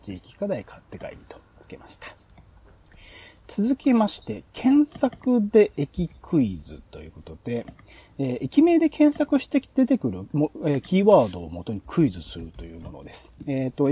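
A man hosting a podcast, casually explaining planning ideas to a co-host in an explanatory way with soft, trailing phrases. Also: medium pitch, breathy edge.

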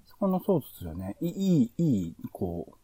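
A man's voice: medium pitch, big pitch swings, fairly quick, dark tone, slightly rough, dry recording, far from the mic. A man co-hosting a podcast, giving conversational commentary in a review of radio shows. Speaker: podcast co-host.

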